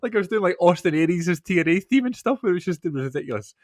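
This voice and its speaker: A man's voice: sits high, lively intonation, rapid-fire, darkish tone, dry recording, studio quality. A young man co-hosting a podcast, chatting in casual, informal banter about things he is a fan of. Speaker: podcast co-host.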